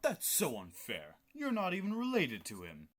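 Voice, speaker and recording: A man's voice: a little high, wildly swooping pitch, normal speed. A young man acting a petulant, self-pitying king in a radio play, exasperated and theatrical. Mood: petty jealousy. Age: teenager.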